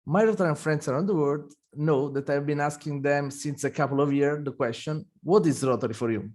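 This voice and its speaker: A man's voice: a little high, a little fast, a little mellow. A man hosting an online meeting, reading a formal welcome speech with a few restarts. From Italy. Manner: reads prepared remarks, occasional restarts. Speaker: event host.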